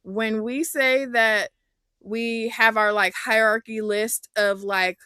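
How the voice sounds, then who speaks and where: A woman from the West Coast co-hosting a comics interview show, gushing like an excited fan. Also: a little high, slow, clean tone, no echo, studio quality. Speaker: podcast co-host. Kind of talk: casual interview chat.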